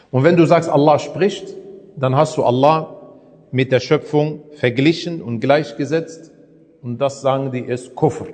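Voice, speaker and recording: A man's voice: fairly high pitch, a little mellow, in a large hall. A man teaching a religious lesson in a conversational way, often asking his listeners rhetorical questions. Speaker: Islamic preacher.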